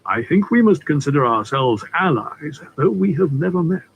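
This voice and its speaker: A man voicing a cultured, persuasive older theatre trustee who speaks slowly and theatrically, with a funereal edge. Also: a little high, very animated, lo-fi sound, slight background noise. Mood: courteous. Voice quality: smooth, cultured, unhurried. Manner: measured and theatrical, with drawn-out words.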